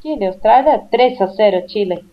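A Brazilian woman with a Portuguese accent talks as a radio panel guest, choosing her words with care. Her delivery is halting but clear. Her line sounds muffled and lo-fi. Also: medium pitch, lively intonation. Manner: careful, halting non-native speech. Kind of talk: casual radio panel chat.

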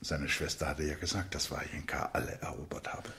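A man giving measured, scripted literary narration for a radio play. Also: a bass voice, flat delivery, vocal fry, pushed and bright, slightly rough.

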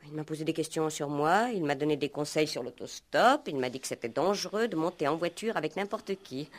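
Composed, lowish-voiced young woman. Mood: guarded, composed. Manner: polite, measured answers, softly insistent. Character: composed, guarded young female hitchhiker under police questioning. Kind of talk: 1960s French radio play acting.

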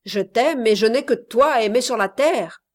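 A woman voicing a blustering, miserly old father who exclaims loudly and insistently, in a read-aloud story. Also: on the high side, normal speed, lightly acted.

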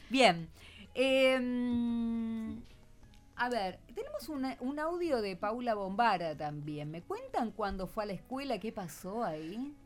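A woman's voice: a little high, sing-song, slow, faint music behind. A woman with an Argentine accent hosting an informal radio chat with schoolchildren, prompting with short questions and echoed answers. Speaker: radio host.

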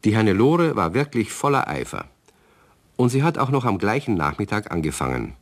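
A man narrating a children's radio story calmly, speaking to young listeners. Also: fairly low pitch.

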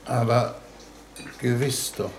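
An actor in a 1950s German radio play, playing a shrewd, suave, condescending foreign minister. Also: male voice, mid-range voice, fairly even intonation, slow, a little hiss or hum. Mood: suave, unruffled. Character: shrewd, breezy, unflappable male foreign minister.

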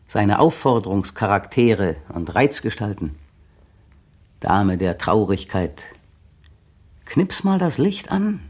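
A man's voice: on the low side, darkish tone, husky, heavily acted. An actor playing a bitter, sardonic man who mockingly taunts his young partner in a 1960s radio drama. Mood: taunting. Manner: mocking, sardonic and wildly shifting. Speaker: male lead in a radio play.

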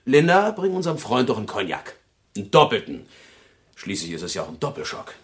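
A man's voice: mid-range voice, huge pitch swings, a little fast. An actor playing a brutish, gloating crook in a German crime radio drama, taunting and sneering as he speaks. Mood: gloating, mocking. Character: brutish, gloating male crook, mocking and menacing.

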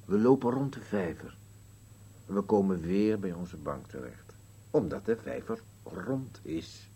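An actor playing a grumpy, bickering old husband in a Dutch radio play, curt and dry, with a husky voice. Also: male voice, sits low, lively intonation, measured pace, mellow, exaggerated voice. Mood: flat, weary. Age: older.

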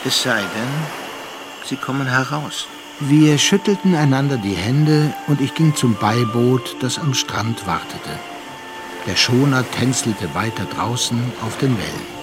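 An actor playing a reflective, worldly gentleman narrator in a literary radio drama, speaking measured standard German. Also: medium pitch, animated, background noise, close to an everyday voice. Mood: sober, wistful. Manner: measured, contemplative, deliberate phrasing.

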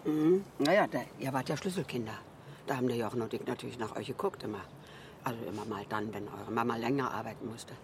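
A woman's voice: a bass voice, fast talker. Radio drama: elderly widow, fond, rambling, down-to-earth; audible noisy ambience behind her. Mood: fond, chatty. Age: older. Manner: rambling, reminiscing, with colloquial tag questions. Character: chatty, fond old Berlin widow, former flower seller. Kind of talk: naturalistic radio drama dialogue.

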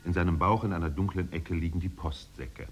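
An actor narrating a 1950s German radio play as a solemn, contemplative storyteller with measured, poetic delivery. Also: male voice, deep voice, lo-fi sound, close to an everyday voice. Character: solemn, contemplative male storyteller of island exiles. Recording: faintly noisy 1950s radio recording.

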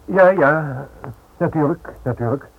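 Husky, nervous, evasive businessman. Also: a little high, unhurried. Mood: nervous evasion. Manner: worried, hesitant, evasive. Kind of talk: radio play acting. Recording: tinny, narrow old radio recording.

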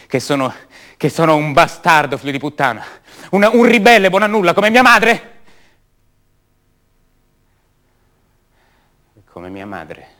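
An actor playing an anguished young son of a disappeared mother in a radio drama monologue, halting and repeating words with intensity. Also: on the high side, very animated, a bit bright. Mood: bitter, pleading. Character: anguished young son of a disappeared woman, raised by her captors.

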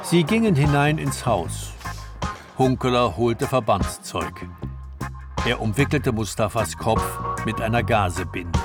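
A man narrates a radio play in scripted, literary style. His pitch sits in the middle range. Music runs strongly beneath the narration. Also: animated, unhurried.

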